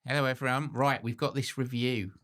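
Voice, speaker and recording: A man's voice: medium pitch, fairly even intonation, brisk, a bit bright, soft background music. A man in his forties with a scratchy voice, co-hosting a podcast in a teasing, jokey way. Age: 40s. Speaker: podcast co-host. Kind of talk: casual chat.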